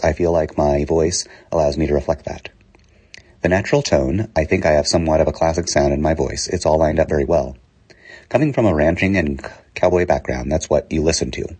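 A man reading newspaper articles aloud in a steady, unhurried way, saying the quote marks as he goes and sometimes slipping and restarting a word. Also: extremely low pitch, slightly rough. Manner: steady, unhurried delivery with small slips and restarts. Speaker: newspaper reader.

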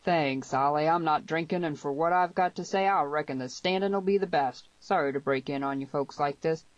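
A woman voicing a huge, rough, loud frontier brawler in an audiobook Western, fierce but fair, blustering and emphatic. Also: low voice, moderate pace, no echo, muffled recording, close to an everyday voice. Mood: grave, firm. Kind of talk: dramatised audiobook dialogue in Western dialect.

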